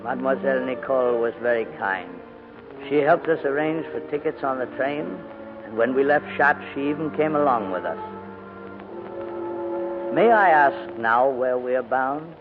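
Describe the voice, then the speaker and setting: An actor playing a courteous, dithering elderly English gentleman in a 1940s radio drama, with a hesitant, hemming delivery. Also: medium pitch, normal speed, over music, lightly acted. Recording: tinny, noisy old radio broadcast.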